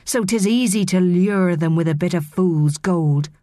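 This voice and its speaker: A woman voicing a stern mermaid leader in a storybook, speaking with quiet menace in formal, old-fashioned phrases. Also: fairly low pitch, moderate pace, husky, dry recording, studio quality. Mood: angry, contemptuous.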